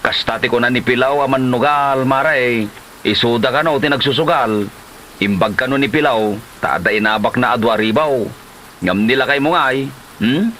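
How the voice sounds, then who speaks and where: A gossipy Ilocano Filipino neighbour, acted by a man in a comedy drama. His mid-pitched voice is bright and insistent as he tattles and soothes, with a little noise on the recording. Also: moderate pace, natural voice. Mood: gossiping. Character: gossipy, meddling male neighbour and friend.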